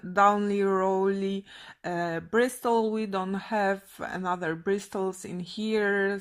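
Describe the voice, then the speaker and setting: A woman's voice: mid-range voice, a bit bright. A woman in her thirties from Poland with a Polish accent, talking through a card-making project in her home craft room, with many hesitant fillers. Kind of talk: casual crafting tutorial. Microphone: fixed camera, seated facing it.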